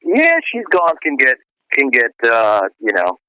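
A man's voice: mid-range voice, huge pitch swings, unhurried, sharp tone, clear, resonant tone, no room sound. A middle-aged male singer being interviewed, chatting casually and rambling with lots of "you know" fillers and restarts. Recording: dry, dull lo-fi radio sound.

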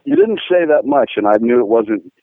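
A man from Pittsburgh, a guitar-playing guest, telling rambling, animated stories with lots of fillers. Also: medium pitch, brisk, no echo. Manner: rambling, animated, fillers, laughs at own jokes. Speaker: guest musician, guitar player. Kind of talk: casual storytelling interview. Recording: tinny phone-line sound, dry.

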